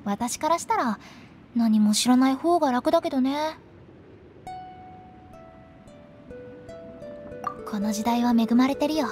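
A woman's voice: sits high, moderate pace, a little mellow, lightly acted. A woman voicing a playful, cryptic young female funeral director in a Japanese anime game, with a teasing, theatrical delivery. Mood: knowing, cryptic. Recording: studio voice over background music.

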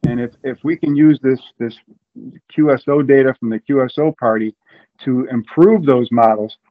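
A man's voice: medium pitch, normal speed. Phone-like video call, middle-aged man explaining. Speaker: interview guest. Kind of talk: informal explanation. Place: room at home. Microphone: video call, earbuds.

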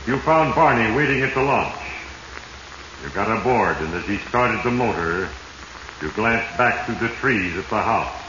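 An actor playing Fate, a sinister, solemn narrator who speaks deliberately and ominously in a 1940s radio drama. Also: male voice, on the low side, expressive, lo-fi sound, lightly acted. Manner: heavy-handed, ominous, deliberate. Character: Fate, sinister, solemn, all-knowing male narrator addressing the doomed. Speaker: narrator and series host. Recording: echoey, noisy 1940s radio recording.

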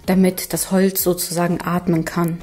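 A woman calmly explaining her cleaning routine in a voice-over. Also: fairly low pitch, normal speed, music in the background. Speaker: home vlogger. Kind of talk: voice-over narration.

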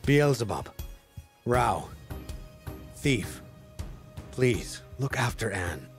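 An actor playing a somber, dignified middle-aged king and father in a video game, solemn and deliberate. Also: mid-range voice, sing-song, soft background music, exaggerated voice. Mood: somber, tender. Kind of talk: video game cutscene acting.

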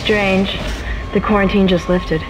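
A woman performs a sharp-tongued spaceship technician in a horror game. Her mid-pitched voice is tense and blunt, with an anxious edge. Engine-like noise sits clearly around it on the comms audio. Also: steady tone, unhurried, lightly acted. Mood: uneasy. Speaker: technical specialist. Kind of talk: video game dramatic acting.

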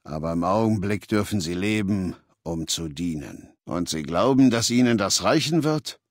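An actor playing an imperious, menacing warlord ruler in a video game, speaking in a commanding, condescending, deliberate manner. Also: male voice, low voice, animated, no echo, studio quality. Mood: stern, cold. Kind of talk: video game dialogue in German dub.

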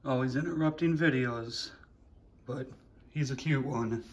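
A man's voice: medium pitch, measured pace. Guy in his 30s, laid back and chatty, phone cam in his living room. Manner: relaxed, chatty, plainspoken. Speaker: fishing channel host. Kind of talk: casual show-and-tell vlog. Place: living room at home, indoors. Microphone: handheld phone camera.

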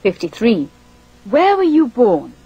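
Slow deliberate woman reading english drills, raspy breathy voice. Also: a little high, wildly swooping pitch, dark tone, dull audio. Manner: slow, deliberate, carefully enunciated. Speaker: language course reader.

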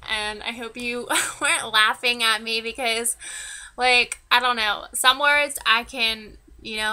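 Woman in her twenties, Northern American accent, highish pitch, very bright crisp voice, casual vlog chat. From Pennsylvania, United States. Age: 20s. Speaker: vlogger. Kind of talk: casual chat to camera, answering tag questions. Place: small wood-panelled room indoors.

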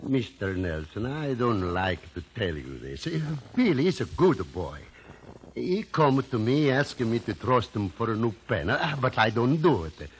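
An actor playing a kindly, earnest immigrant shopkeeper with an Italian accent and halting broken English, in 1950s radio drama style. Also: male voice, mid-range voice, big pitch swings. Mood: reluctant. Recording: noisy vintage radio recording.

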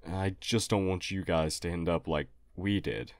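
A man plays an earnest, nerdy humanoid alien in an audio roleplay. The voice is deep and unhurried, with polite, halting, formal phrasing. The sound is dry, with little room in it. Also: fairly even intonation, dry recording. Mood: earnest, apologetic. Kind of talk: audio roleplay acting addressed to listener.

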